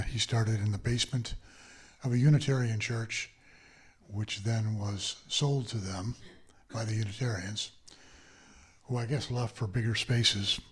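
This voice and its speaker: A man gives an informal introduction of a guest at a workshop. He speaks slowly and haltingly, pausing on fillers. His voice is low and husky. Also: darkish tone. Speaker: introducer, temple member.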